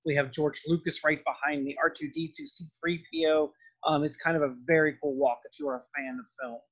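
A man's voice: a little high, fairly even intonation, a little fast, fairly bright tone, husky, lo-fi sound. A middle-aged man giving an informal, explanatory video lecture as a professor. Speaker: art history professor.

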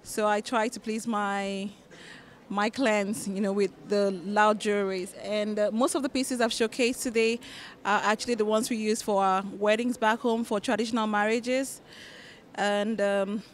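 A woman with a Nigerian accent introducing her accessories line at a press junket into a handheld mic, relaxed with fillers. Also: medium pitch. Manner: relaxed, even delivery with fillers.

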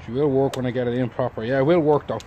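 A man's voice: medium pitch, steady tone, rapid-fire, highs cut off. A man in his thirties casually explaining a hands-on job to a camera held nearby outdoors. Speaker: friend helping with a DIY job. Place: outdoors on a driveway by a van. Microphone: camera held by another person nearby. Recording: outdoor handheld recording with faint noise.

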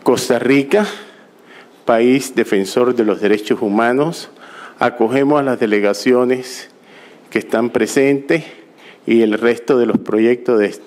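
A middle-aged man speaks into a podium microphone in an auditorium, welcoming guests to a conference. He talks at an unhurried, deliberate pace and often stops to correct himself or restart a phrase. His voice sits at an ordinary middle pitch. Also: a little mellow. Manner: slow, halting, with restarts and self-corrections. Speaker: conference organizer. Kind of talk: formal welcome speech.

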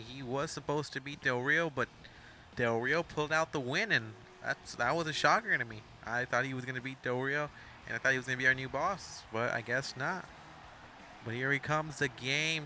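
A man's voice: fairly high pitch, sharp tone, slight background noise. A young man of Mexican background giving rambling, casual play-by-play commentary over a wrestling video game, full of asides and self-corrections.

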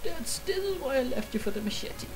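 Lively goofy woman narrating a game. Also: sits high, brisk, music in the background. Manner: goofy, talks to her tools in mock drama. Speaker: gaming YouTuber. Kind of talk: comedic let's play commentary.

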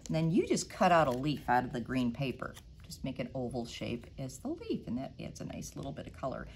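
A middle-aged woman, a children's librarian, reading a storybook aloud with animated sound effects and then walking kids through a craft, recorded on a lapel mic in a library room. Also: extremely low pitch, a little fast, slight background noise.

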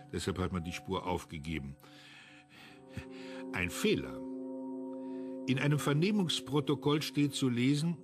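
An actor playing a self-assured, analytical investigator in a German radio crime drama, speaking in a formal, clipped manner. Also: male voice, lowish voice.

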